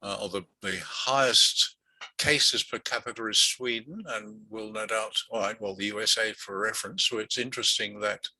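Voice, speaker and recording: A man's voice: lowish voice, creaky, pushed and bright, no room sound, poor audio. A man hosting an online meeting, walking through statistics and then interviewing a guest, with many fillers and restarts. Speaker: meeting host.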